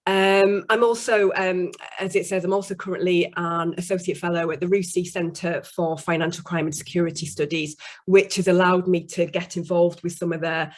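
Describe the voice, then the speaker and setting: A woman who is a university course director, introducing herself at an online webinar with a slightly croaky voice and frequent fillers. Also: on the low side, steady tone, moderate pace.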